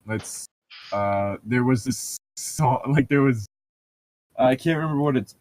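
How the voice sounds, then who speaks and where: Man, medium pitch, podcast co-host, casual banter, profane, crude joker. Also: measured pace, darkish tone, poor audio. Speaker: co-host of a comedic read-along podcast. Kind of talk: casual banter between book readings.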